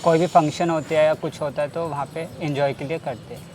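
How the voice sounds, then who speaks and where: A young man from Himachal Pradesh, a coworker, answering softly and politely. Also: on the high side, steady tone, a little fast, a little hiss or hum. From Himachal Pradesh, India. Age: young adult.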